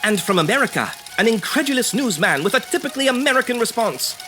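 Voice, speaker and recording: A man's voice: extremely high pitch, sing-song, fast talker. A man playing a dramatic, urgent narrator in the manner of a 1940s radio serial. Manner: theatrical, urgent announcer delivery. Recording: music under the narration.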